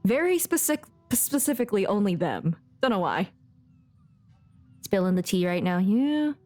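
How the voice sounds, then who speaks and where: A woman's voice: medium pitch, sing-song, moderate pace, darkish tone, dry recording, soft background music. A woman giving casual, joking, laid-back commentary as she streams a game.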